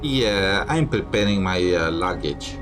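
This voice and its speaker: A man's voice: deep voice, lively intonation, a little slow. A man giving casual gameplay commentary, reading bits aloud, with a dry, sardonic manner. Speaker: game streamer. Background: game music.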